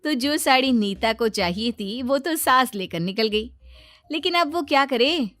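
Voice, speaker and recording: A woman's voice: sits high, lively intonation, measured pace, music in the background. A woman narrating a scripted children's story as a storyteller.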